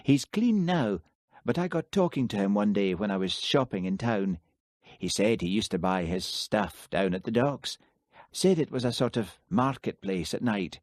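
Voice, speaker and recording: A man's voice: on the low side, darkish tone, no room sound, studio quality, lightly acted. A man reading aloud as an older male professor who rambles and grumbles reluctantly.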